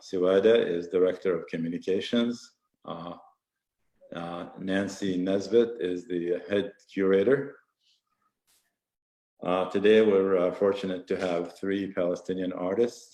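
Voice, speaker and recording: Halting deep-voiced man introducing a panel. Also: darkish tone, reverberant. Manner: halting, many fillers. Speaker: museum host introducing a panel. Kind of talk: formal introduction.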